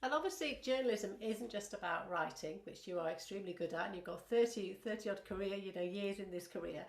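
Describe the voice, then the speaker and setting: A woman with a northern English accent hosting a podcast interview, warm and encouraging as she asks questions. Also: mid-range voice, a little fast. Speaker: podcast host. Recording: roomy echo.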